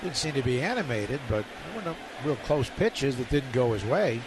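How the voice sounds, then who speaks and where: American male baseball radio commentator, mid-range voice, live broadcast with clear background noise. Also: medium pitch, very animated, normal speed. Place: outdoor ballpark broadcast booth.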